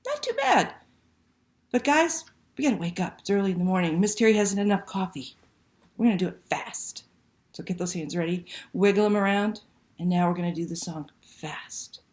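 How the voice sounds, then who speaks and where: A woman's voice: mid-range voice, big pitch swings, normal speed, darkish tone. A woman hosting a library story time, reading aloud and talking to young children with simple questions and prompts.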